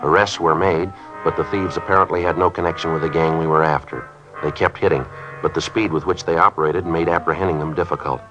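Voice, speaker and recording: An American actor playing a stoic, businesslike police sergeant in a 1950s radio drama, terse and matter-of-fact. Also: male voice, low voice, steady tone, quick pace, natural voice. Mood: flatly factual. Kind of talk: 1950s radio acting, clipped procedural narration. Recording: muffled old radio recording with music.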